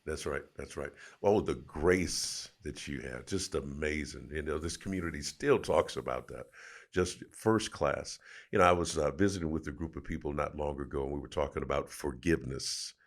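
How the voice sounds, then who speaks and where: Male host, very deep, gravelly, warmly affirming. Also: normal speed. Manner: affirming, admiring interjections. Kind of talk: interview chat.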